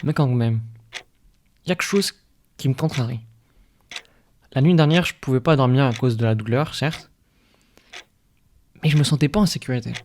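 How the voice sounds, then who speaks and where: A man's voice: medium pitch, moderate pace, pauses often, a little mellow, slight background noise, lightly acted. A man playing an ailing, anxious village worker in an audio drama, with a hesitant, stammering, folksy way of talking. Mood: troubled, uneasy. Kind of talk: audio fiction podcast acting.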